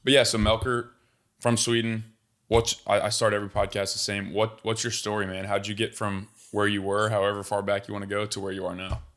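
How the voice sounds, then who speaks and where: Man, podcast host, low pitch, little melodic movement, informal buddy-style chat. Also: little pitch movement, fairly quick, a bit bright. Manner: laid-back, informal, with buddy-like slang. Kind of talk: casual chat.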